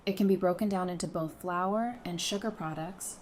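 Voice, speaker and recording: A woman's voice: on the low side, moderate pace, slight background noise, natural voice. A woman playing a friendly, knowledgeable member of a survivor community in an audio drama, speaking in an easygoing, explanatory way. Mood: informative.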